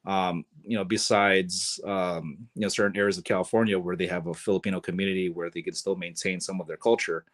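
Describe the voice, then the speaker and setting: A man speaking as a guest on a podcast, relaxed and chatty, leaning on lots of fillers. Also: sits low, a little fast, few pauses. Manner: relaxed, filler-heavy, sprinkled with "you know". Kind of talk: casual conversation.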